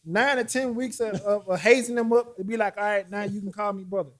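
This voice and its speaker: Man, forties, high voice, animated, casual conversation. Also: a little fast. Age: 40s. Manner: animated, stumbling restarts, argues by example. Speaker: podcast host. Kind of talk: casual chat.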